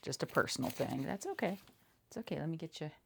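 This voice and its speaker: A woman talks softly in a low register with a noticeable fry in her voice. She plays a seamstress in an ASMR roleplay, casual and halting, murmuring short reassurances and repeating them as she goes. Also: animated, slight background noise. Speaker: ASMR creator playing a seamstress. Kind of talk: soft-spoken ASMR roleplay.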